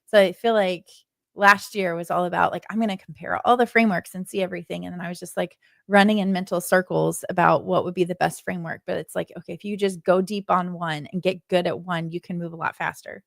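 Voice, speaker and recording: Chatty Southern woman with vocal fry. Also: lowish voice, fairly even intonation, fast talker, dry recording, clean studio sound. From Nashville, southern US. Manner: chatty, upbeat, with frequent fillers like "like" and "um". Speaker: podcast host. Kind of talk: casual tech podcast chat.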